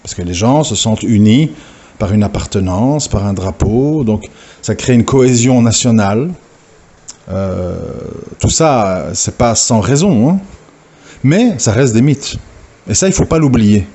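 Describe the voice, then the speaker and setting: A man's voice: fairly low pitch, expressive, unhurried, mellow. A man giving an informal religious talk, hesitant and full of fillers and restarts as he lectures. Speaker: religious preacher. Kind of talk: informal recorded audio talk.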